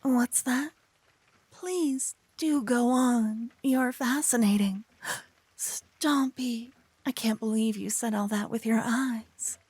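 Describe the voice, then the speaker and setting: A woman playing a silly, adorable young paladin in an ASMR audio roleplay, teasing and theatrical, hushed in places. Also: on the high side, slow pace, husky, no echo. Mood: teasing, smug. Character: adorable silly young female paladin, bossy, smitten, playful know-it-all.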